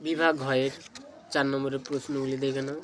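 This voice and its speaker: A man reading out exam questions and answers as a tutor, in deliberate, segmented phrases. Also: medium pitch, level tone, a bit bright, a little hiss or hum. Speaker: exam tutor, channel host.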